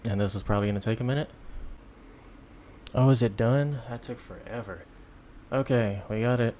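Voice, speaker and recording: A man giving a casual, unscripted software tutorial in an informal, halting, step-by-step way, with background noise. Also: medium pitch. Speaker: tutorial presenter.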